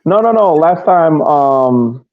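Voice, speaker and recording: A man's voice: fairly high pitch, lively intonation, takes it slowly, no room sound. A man chatting as a sports podcast panelist, reasoning aloud a little hesitantly and repeating his phrases. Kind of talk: casual sports podcast chat. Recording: thin phone-like call audio.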